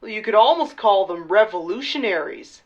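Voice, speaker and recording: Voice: mid-range voice, expressive, normal speed, lo-fi sound, natural voice. An actor playing a wry, agreeable podcast co-host making casual banter in a radio drama. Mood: amused.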